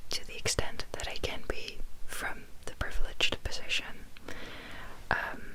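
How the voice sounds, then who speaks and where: A young adult woman from the Netherlands, a native Dutch speaker, whispering an ASMR chat from her bed, soft and meandering with many pauses and ums. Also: low-quality recording. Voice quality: full whisper, unvoiced. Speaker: ASMR YouTuber. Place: sitting on her bed indoors. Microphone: close mic, phone notes in hand.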